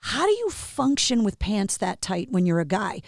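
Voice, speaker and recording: A woman hosting an interview podcast, chatting casually with a playful, quick wit. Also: medium pitch, big pitch swings, vocal fry, no room sound, studio quality. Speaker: podcast host.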